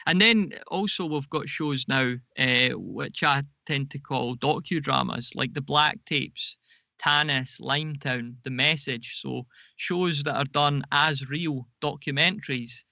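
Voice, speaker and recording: A man's voice: mid-range voice, measured pace, creaky, sharp tone, no room sound. A man speaking as a podcast guest in an interview, explaining things and often correcting himself as he goes. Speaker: podcast guest, audio drama producer.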